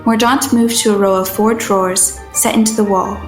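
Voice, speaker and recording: A woman acting as an observant, uneasy but determined heroine who narrates an audio drama in a measured, literary manner. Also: mid-range voice, fairly even intonation, roomy sound, soft background music, lightly acted. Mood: measured narration. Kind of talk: first-person scripted narration in an audio drama.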